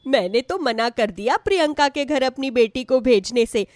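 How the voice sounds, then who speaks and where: A woman's voice: sits high, expressive, moderate pace, dry recording, clean studio sound. A woman voicing a gossiping, suspicious and disapproving neighbour in a devotional story.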